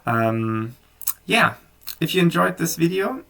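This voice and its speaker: A German man with a gravelly, creaky voice walks through a screen-recorded software tutorial in a relaxed, unscripted way, full of ums and small restarts. He sits a bit back from the microphone, so his voice has some distance to it. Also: on the high side, slow pace.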